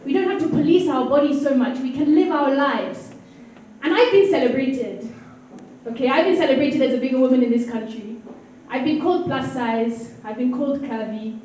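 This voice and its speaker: Woman doing standup, high voice, teasing the crowd, far off in a big echoey hall with people murmuring. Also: moderate pace, dark tone, slight background noise. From Kenya. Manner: playful, teasing the audience. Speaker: stand-up comedian. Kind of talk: stand-up comedy set. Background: audience laughter and crowd murmur.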